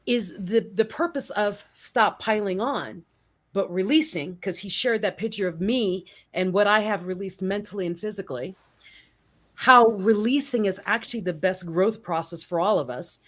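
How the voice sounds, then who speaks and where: A woman's voice: mid-range voice, moderate pace, fairly bright tone. Upbeat woman hosting a talk show. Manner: upbeat, chatty, laughs often. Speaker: host. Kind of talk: live-stream talk show chat. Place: home in Colorado.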